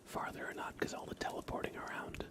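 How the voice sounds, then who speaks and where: A man, hosting an ASMR livestream in a gentle, unhurried way and talking directly to his chat. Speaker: livestream host. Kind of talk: ASMR livestream, whispered and soft-spoken.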